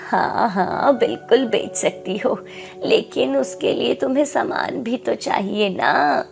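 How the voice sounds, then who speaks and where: A woman voicing a kindly old woman in an animated moral story, in a welcoming, encouraging manner. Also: on the high side, takes it slowly, creaky, over music, full character voice. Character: kindly old woman who sells pani puri.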